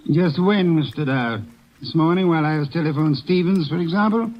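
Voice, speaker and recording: An actor playing a shrewd, heavyset older private detective in a radio drama, speaking formally and precisely with dry, imperious sarcasm. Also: male voice, on the high side, fairly quick, lightly acted. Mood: needling. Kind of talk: old-time radio drama acting. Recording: dull, muffled old radio recording.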